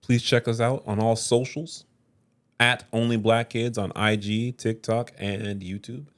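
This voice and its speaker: A man co-hosting a podcast in casual chat, playful, jumping up high when he jokes. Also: a little slow. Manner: playful, animated, swings up high when joking. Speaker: podcast co-host.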